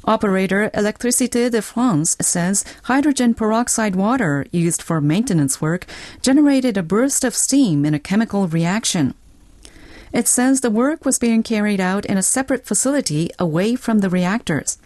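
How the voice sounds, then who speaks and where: A woman's voice: mid-range voice, lively intonation, normal speed, darkish tone, no room sound, clean studio sound. A woman reading scripted international news as a radio newsreader.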